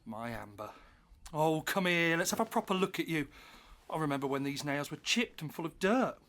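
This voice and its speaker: Playful, teasing boyish man, swooping pitch. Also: lively intonation, normal speed, a bit bright. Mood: fond, reassuring. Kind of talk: comedic audio drama acting.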